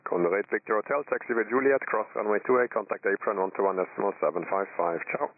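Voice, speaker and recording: A man's voice: fairly low pitch, quick pace. A man working as a tower controller, giving clipped instructions over aviation radio. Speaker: air traffic tower controller. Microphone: air traffic radio transmission. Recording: narrowband airband radio with faint static.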